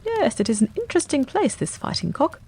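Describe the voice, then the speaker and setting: A woman voicing a brisk, confident male detective in an audiobook reading, quick and emphatic. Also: sits high, wildly swooping pitch, warm tone, voice pushed up, exaggerated voice. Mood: cool.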